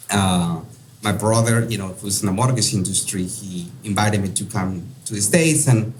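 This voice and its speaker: A man's voice: lowish voice, slight background noise, low-quality recording. Peruvian-accented man, hesitant guest. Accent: Peruvian Spanish. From Peru. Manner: hesitant, many fillers. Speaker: podcast guest. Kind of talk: casual interview talk.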